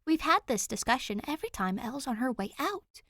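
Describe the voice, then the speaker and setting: A woman's voice: sits high, very animated, fairly quick, creaky, a bit bright, no echo, studio quality, voice pushed up, heavily acted. Woman acting a fond, bossy big sister, emphatic. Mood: firm, scolding.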